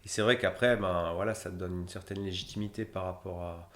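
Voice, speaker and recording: A man's voice: deep voice, fairly even intonation, brisk, fairly bright tone. A man in his thirties, a humanitarian logistician, answering interview questions in a rambling way with many fillers and restarts. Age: 30s. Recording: roomy echo.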